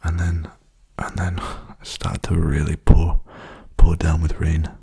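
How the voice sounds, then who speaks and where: A young man speaking softly in a hushed, breathy, soothing whisper, rambling casually for an ASMR video. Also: very deep voice, flat delivery, slow pace. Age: young adult.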